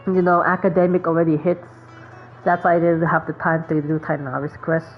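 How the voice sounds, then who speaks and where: Low-voiced woman gamer on a tinny mic. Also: flat delivery, brisk, soft background music. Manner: rambling, thinking aloud. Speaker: gaming streamer. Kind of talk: casual gameplay commentary. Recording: thin phone-like mic, noisy.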